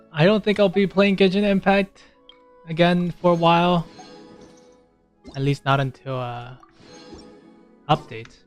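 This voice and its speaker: Male gamer, average pitch, casual commentary, offhand, self-directed mumbling. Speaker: gamer, streamer.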